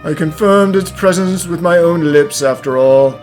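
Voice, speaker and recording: A man voicing a grand, self-assured genius detective with dramatic delivery in an animated mystery fan dub. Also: a little high, moderate pace, faint music behind, natural voice. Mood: accusing, triumphant.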